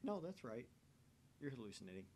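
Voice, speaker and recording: Mid-pitched man streaming a reading. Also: big pitch swings, a little fast, a little mellow. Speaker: streamer reading a visual novel aloud. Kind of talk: live story reading and casual chat. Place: indoors, live stream. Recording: faint background noise.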